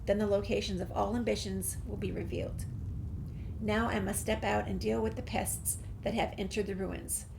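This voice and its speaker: A woman with a creaky voice, reading a game's documents and dialogue aloud as a gameplay streamer. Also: medium pitch, steady tone, moderate pace. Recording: faint background noise, game ambience.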